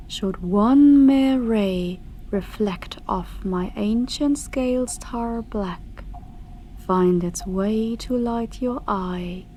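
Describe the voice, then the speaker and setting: Very slow, haughty ancient dragoness, acted by a woman. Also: medium pitch, dark tone, a little hiss or hum. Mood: grandly menacing. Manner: grandiose, archaic, deliberate, breathy whispered passages. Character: ancient female dark dragon, eldritch, haughty, menacing, contemptuous. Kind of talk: slow ASMR fantasy monologue.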